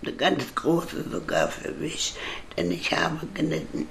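An actress playing a suffering old woman, in a breathy, croaky, half-whispered drama monologue. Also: a bass voice, sing-song, slow, slight background noise, heavily acted.

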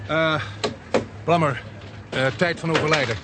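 A man playing a grim, determined police inspector in a radio drama, giving clipped orders and pausing as he thinks. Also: on the high side, fairly even intonation, lightly acted. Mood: brooding, businesslike. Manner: authoritative, clipped orders with hesitant pauses. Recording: noisy, street and traffic ambience.

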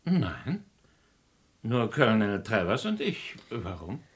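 A man's voice: on the low side, wildly swooping pitch, slow pace, full character voice. An actor playing a busy, obliging businessman and art collector in a German radio crime play, polite, composed and formal. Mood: guarded, polite.

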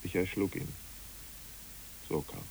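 An actor playing a brooding, blunt man who killed his comrade, speaking tersely and gravely in a literary radio play. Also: takes it slowly, darkish tone, close to an everyday voice, rough recording. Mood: grim, brooding. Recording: hissy old radio recording.

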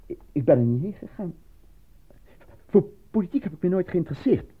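An actor in a radio play voicing an eager, naive, easily flustered man who stammers and gets excitable. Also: high-pitched, brisk, husky. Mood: nervous, flustered. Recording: muffled lo-fi old radio recording.

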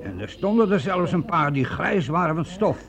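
A creaky-voiced, frightened veteran soldier. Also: male voice, a little high, big pitch swings, moderate pace. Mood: earnest, insistent. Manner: stammering, emphatic, repeats himself. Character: frightened veteran soldier, an archer, insisting on devilry. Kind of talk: Dutch radio play acting. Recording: dull lo-fi old radio recording.

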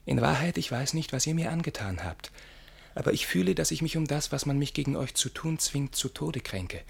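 An actor playing a boastful, naively confiding young gentleman in a German radio verse comedy, with exuberant declamation and a husky voice. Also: a little high, animated, a little fast, heavily acted. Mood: tender reading. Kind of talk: theatrical radio play acting in rhymed German verse.

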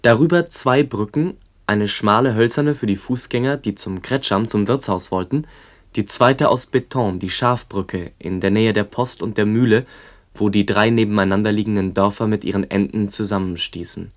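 Lowish male voice acting as sober chronicler in a radio play, measured, lists and describes a remembered village. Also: lightly acted. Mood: sober, evocative. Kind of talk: literary radio play narration.

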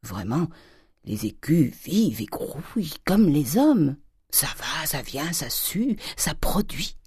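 Audiobook miser: old French father, husky, coaxing, greedy and quick to explode played by a woman. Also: deep voice, very animated, warm tone, no room sound, studio quality, exaggerated voice. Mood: wheedling, greedy. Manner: coaxing, exclamatory, gold-obsessed. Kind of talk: audiobook character acting.

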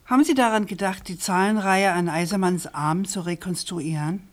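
Low-voiced, persistent female journalist, played by an actress. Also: natural voice. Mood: insistent. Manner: measured, insistent, articulate. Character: persistent, probing female journalist, composed and precise. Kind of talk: German radio crime drama dialogue.

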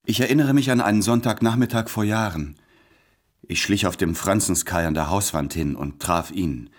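A man's voice: low voice, a little mellow. A man playing a courteous, self-doubting, tender writer, reading his letters in a formal, hesitant, introspective way for a radio play. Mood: tender, reflective.

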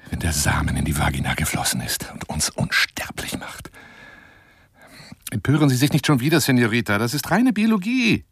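A garrulous big-game hunter in a radio monologue, courting a woman as he rambles. His low, husky voice swoops theatrically between exclamation and coaxing whisper. The sound is dry and intimate. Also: no echo, heavily acted. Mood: boastful, conspiratorial, then indignant, insistent. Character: garrulous, self-styled lion hunter wooing a woman by telephone.

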